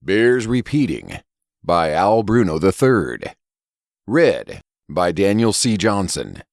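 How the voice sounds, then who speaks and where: A man reading scripted fiction as a narrator, in a deliberate, expressive storytelling manner. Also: creaky, no echo, clean studio sound. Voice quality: low-pitched voice. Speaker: story reader and podcast narrator.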